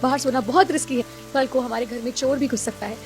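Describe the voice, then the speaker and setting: A woman's voice: high voice, fairly even intonation, quick pace, darkish tone, faint music behind. A woman voicing an exasperated, scheming young daughter-in-law in a Hindi cartoon story, with dramatic complaining delivery. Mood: scolding.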